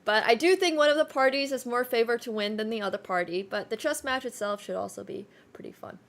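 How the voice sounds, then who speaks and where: Chatty young woman with a gravelly edge. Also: on the high side, quick pace. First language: Chinese. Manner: chatty, rambling with many fillers and self-corrections. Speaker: streamer. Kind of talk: casual livestream chat.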